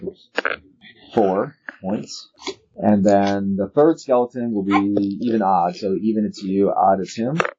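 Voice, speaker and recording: A man with a husky voice narrating a tabletop game as game master, explaining things with hesitant pauses. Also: on the low side, noisy background. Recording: muffled lo-fi recording.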